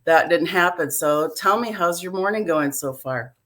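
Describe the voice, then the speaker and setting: A woman's voice: fairly low pitch, animated, normal speed, fairly bright tone. A woman hosts a healing live stream from a home video call, talking in a chatty way with many fillers. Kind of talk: casual live-stream talk.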